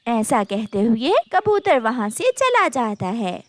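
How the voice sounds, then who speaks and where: High-voiced, unhurried woman storyteller. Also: very animated, no room sound. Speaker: narrator. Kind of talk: scripted children's story narration.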